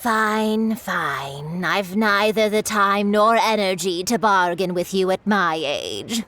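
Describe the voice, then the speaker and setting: An old granny shopkeeper in a video game, talking slowly with a lively, swooping intonation. She is gentle and grandmotherly, yet shrewd. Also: medium pitch. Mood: resigned, kindly. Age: older. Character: kindly old granny kite seller, gentle but shrewd.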